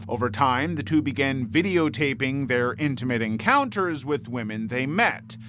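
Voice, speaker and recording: Lively higher-pitched male true crime narrator. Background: background music.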